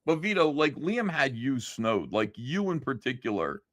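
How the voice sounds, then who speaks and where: Lively, profane male stream host. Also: mid-range voice, normal speed, dry recording. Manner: loose, profane, rambling with many fillers. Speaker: livestream host. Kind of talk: casual livestream chat, reading viewer comments.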